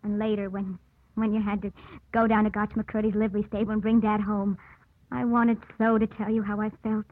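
A woman's voice: medium pitch, little pitch movement, fairly quick, no room sound, close to an everyday voice. An actress playing an earnest young woman torn by forbidden love, intense in the manner of old radio drama. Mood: anguished, resolute. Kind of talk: 1940s-50s radio drama acting. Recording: muffled old radio broadcast.

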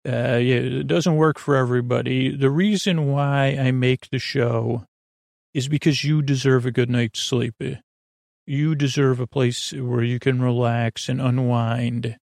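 A man hosting a sleep podcast in an informal, meandering, gently reassuring monologue, hesitating and trailing off mid-thought. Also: medium pitch, steady tone, unhurried, vocal fry, dry recording, clean studio sound. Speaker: podcast host.

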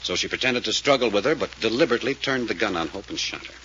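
An actor playing a commanding detective who explains a case emphatically, in the style of a 1940s radio serial. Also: male voice, fairly low pitch, expressive, fast, bright, forceful tone. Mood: intent, explaining. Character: brisk, commanding male detective explaining a murder. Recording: muffled, hissy old radio recording.

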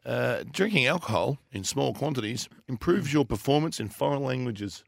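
A man's voice: mid-range voice, lively intonation, moderate pace, gravelly, no room sound, studio quality. A man co-hosting a casual show, teasing and laughing often. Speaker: radio show co-host. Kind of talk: casual banter.

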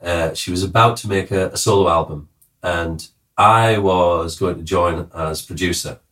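A man's voice: deep voice, normal speed, a bit bright, distant mic. A man from the north of England with a Cumbrian accent, a musician guest telling stories in a casual interview, rambling with many fillers and jokes. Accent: Cumbrian English.

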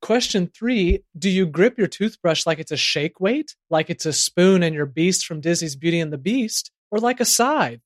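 A man's voice: high-pitched, animated, moderate pace, few pauses, dry recording, clean studio sound. A man hosting a comedy podcast, reading playful scripted narration and ads. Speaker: podcast host.

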